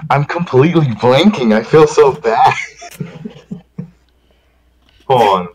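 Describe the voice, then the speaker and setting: A man's voice: medium pitch, lively intonation, slow pace, darkish tone, a little hiss or hum, rough recording. A man chatting casually as a voice actor guest. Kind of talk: casual group chat.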